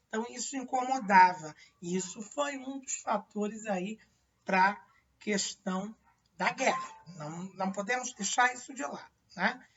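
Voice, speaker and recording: A Brazilian-accented woman delivers a high school history lecture, peppering it with tag questions and rhetorical prompts. Her voice is husky and airy, captured from some distance on a muffled, low-fidelity recording. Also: a bit bright. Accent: Brazilian Portuguese. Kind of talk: classroom lecture.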